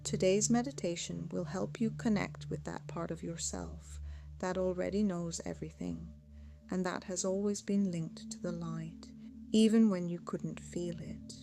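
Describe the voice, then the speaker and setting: A woman narrating a guided meditation in a soothing, measured, hypnotic way, with phrases trailing off into a hush. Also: on the low side, creaky. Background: music.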